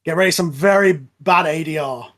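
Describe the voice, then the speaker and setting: A man's voice: on the high side, fairly quick, a bit bright. A man hosting an informal film commentary, explaining things casually with a hesitant, chatty delivery. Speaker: host and writer of an audio drama.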